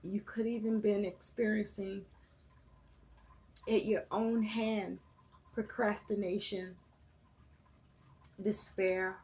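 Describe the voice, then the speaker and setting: A woman giving a tarot reading for her viewers, listing phrase after phrase in a slow, measured way. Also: mid-range voice, flat delivery, darkish tone. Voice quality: voice rough and breaking, coughing. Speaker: tarot reader.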